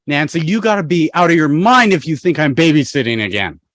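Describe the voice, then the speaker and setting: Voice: medium pitch, animated, a little fast, a bit bright, no echo, studio quality, natural voice. An actor playing an exasperated, reluctant young man who protests and stammers, in a fan table read over a video call. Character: exasperated, reluctant young male babysitter, defensive and flustered.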